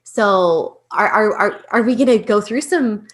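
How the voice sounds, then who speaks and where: A woman hosting an interview podcast, her voice high-pitched. She keeps the talk friendly and engaged, often affirming what her guest says. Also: measured pace, a little hiss or hum. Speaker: podcast host.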